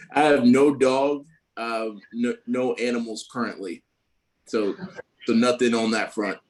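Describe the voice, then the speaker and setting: A man introducing himself as a new board member over a video call, in a friendly, brisk way. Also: mid-range voice, fairly even intonation, slight background noise, rough recording. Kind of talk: brief self-introduction.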